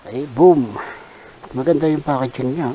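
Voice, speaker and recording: A Filipino man giving casual unboxing commentary as a vlogger, halting and thinking aloud in an unscripted way. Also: mid-range voice, mellow, low-quality recording. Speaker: vlogger reviewing a product. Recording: hissy background noise.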